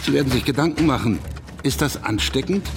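A man's voice: medium pitch, animated, a little fast, lightly acted. An actor playing a bitter, foreboding reactionary man in a radio drama, speaking in accusatory rhetorical questions. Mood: threatening. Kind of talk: dramatic radio play monologue. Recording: studio radio play with loud music.

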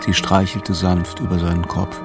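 An actor as a grave storyteller, giving scripted literary narration in a German radio drama. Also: male voice, sits low, level tone, normal speed. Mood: grave, tender. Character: grave male storyteller of a jazz legend. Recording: music behind the voice.

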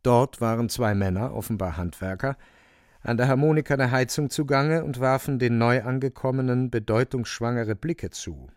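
A man narrates a literary radio play at a mid pitch, very close and dry. His storytelling is measured and precise. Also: a little mellow, no room sound. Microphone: close studio microphone.

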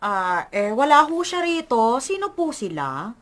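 High, swooping, timid housemaid. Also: female voice, very animated, a little slow, fairly bright tone. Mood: flustered. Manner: hesitant, polite. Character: timid, flustered, deferential female housemaid. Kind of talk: radio drama acting.

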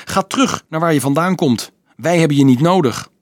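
A man's voice: on the high side, fairly quick, no room sound, studio quality, voice pushed up, close to an everyday voice. Man playing an angry, haughty storybook monk. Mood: hostile, dismissive. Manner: accusing, commanding. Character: angry, haughty monk defending his authority.

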